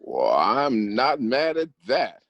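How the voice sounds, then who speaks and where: A man's voice: mid-range voice, wildly swooping pitch, slow, vocal fry, dry recording, lo-fi sound, exaggerated voice. A man reading the part of an easygoing, amused boyfriend on video chat in a screenplay table read. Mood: amused, eager.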